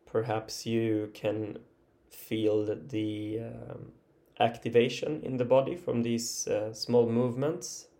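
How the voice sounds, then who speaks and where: A man with a mellow, creaky voice guides a meditation at a very slow pace. He sounds gentle and unhurried, improvising his instructions and leaving long pauses between short phrases. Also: medium pitch, little pitch movement.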